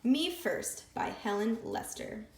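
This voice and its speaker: A woman reading a picture book aloud with expressive, lively storytelling. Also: on the high side, slow pace, slight background noise. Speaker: storybook reader. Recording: echoey room.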